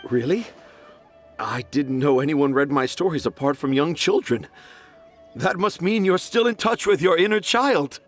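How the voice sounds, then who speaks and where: A man's voice: a little high, measured pace, faint music behind, natural voice. A man voicing a pompous, dreamy children's author in a video game, speaking in grand, flowery phrases. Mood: grandiose.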